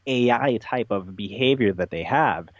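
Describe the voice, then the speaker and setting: A man's voice: medium pitch, expressive, moderate pace, no room sound, highs cut off. A man talking as a podcast guest in a conversational, rambling way, making absurd claims matter-of-factly. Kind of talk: conversational interview talk, playful tongue-in-cheek persona.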